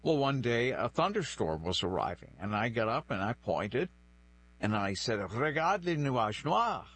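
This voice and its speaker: A man's voice: lowish voice, animated, normal speed, a bit bright, slightly rough. A man in his sixties from the northeastern United States, a native French speaker, telling personal stories reflectively as an interview guest. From New Bedford, northeastern United States. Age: 60s. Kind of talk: conversational interview, personal storytelling.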